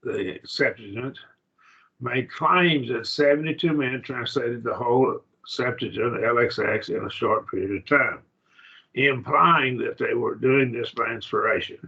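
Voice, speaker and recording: A man teaching a college-level Bible study class in a plain, halting lecture with restarts and asides. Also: medium pitch, creaky, a bit bright, highs cut off.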